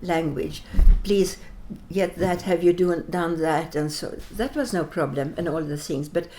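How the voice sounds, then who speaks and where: An older woman from Sweden, a native Latvian speaker, answering interview questions reflectively in halting English as she searches for words. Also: low voice, mellow. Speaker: interview guest.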